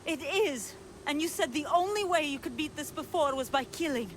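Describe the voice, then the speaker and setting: A woman voicing an anguished, earnest young woman with a dark hidden side, in a video game. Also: sits high, expressive, normal speed, a little hiss or hum. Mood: guilt-ridden. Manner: earnest, emotional. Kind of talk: video game cutscene acting.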